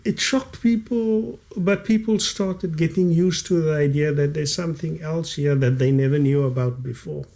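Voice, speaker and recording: A man's voice: a little high, normal speed, creaky, hardly any pauses, mellow. A South African man in his late fifties, a guest author whose first language is Kaaps, speaking reflectively in a podcast studio and stretching out key words for emphasis. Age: 50s. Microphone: studio condenser mic with headphones.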